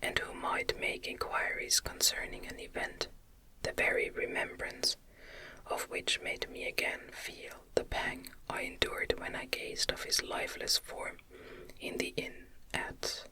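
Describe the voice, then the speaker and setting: Woman, ASMR story reader, soft breathy whisper, very slow pace, soothing, gentle. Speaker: audiobook reader. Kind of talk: ASMR whispered reading of a gothic novel.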